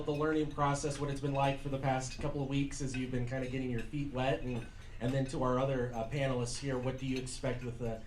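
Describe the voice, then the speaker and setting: Male American event moderator, mid-pitched, informal, heard off-mic in a reverberant room. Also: slight background noise. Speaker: event host and panel moderator. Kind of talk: informal introductions and panel moderating. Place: indoor event room at a lunch. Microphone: shared event microphone. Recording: echoey room, distant microphone.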